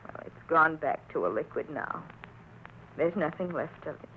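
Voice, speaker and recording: A woman's voice: sits low, expressive, moderate pace, narrow, tinny audio. An actress playing a frightened, worried woman in a 1940s radio drama, anxious and melodramatic. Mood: subdued, shaken. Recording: noisy vintage 1940s radio recording.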